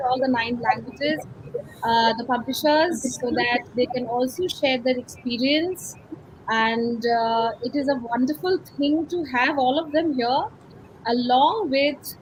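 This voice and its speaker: High-pitched Indian woman hosting online. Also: slight background noise, poor audio. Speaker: host. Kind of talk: hosting an online launch event. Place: remote video call.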